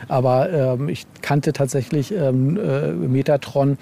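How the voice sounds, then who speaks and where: A man speaking casually as a podcast interview guest, halting and rambling, often repeating words and adding tag questions. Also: mid-range voice, creaky, mellow. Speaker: interviewed guest, horror novel author.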